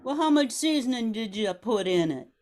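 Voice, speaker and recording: A woman's voice: a little high, expressive, normal speed, darkish tone, natural voice. An older woman playing a scrappy Cajun grandmother in her fifties in an amateur radio play. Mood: bossy, folksy.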